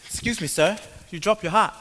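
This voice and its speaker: Man as an earnest, polite young newcomer; bright, fairly high voice; hall reverb, faint noise. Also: a little fast. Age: young adult. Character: young Cuban immigrant man, polite and lost. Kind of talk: student stage play.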